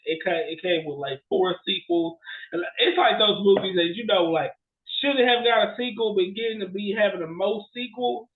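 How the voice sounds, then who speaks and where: Male podcast co-host, high voice, rambling and animated, casual conversational delivery. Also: moderate pace, narrow, tinny audio. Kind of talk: casual chat about bad movies.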